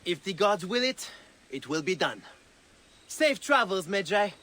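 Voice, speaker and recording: An actor playing a devout, fierce warrior in a video game, proud and fervent in manner. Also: male voice, sits high, sing-song, a little slow, pushed and bright, exaggerated voice. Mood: proud, willing. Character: devout fierce young warrior, zealous servant of cat goddess. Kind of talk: dramatic video game acting.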